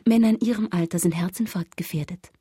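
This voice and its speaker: An actress playing a coolly mocking, jilted woman in a radio drama, with a slightly husky voice and a curt, cutting delivery. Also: lowish voice, fast talker, mellow, no room sound, studio quality. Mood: mocking, dry.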